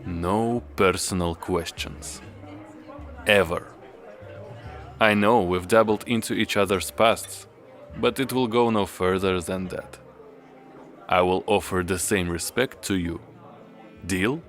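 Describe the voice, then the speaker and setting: Deep-voiced, reserved young therapist played by an actor. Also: male voice, fairly even intonation, fairly bright tone, a faint crowd. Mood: firm, formal. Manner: measured, formal, understated. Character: reserved, solitary young male therapist, courteous and intense. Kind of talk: audio drama dialogue. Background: music.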